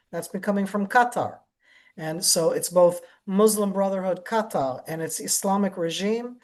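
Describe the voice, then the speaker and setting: A woman's voice: lowish voice, a little mellow. A middle-aged American woman, a security analyst, answering a question in an online briefing with earnest emphasis and frequent restarts. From United States.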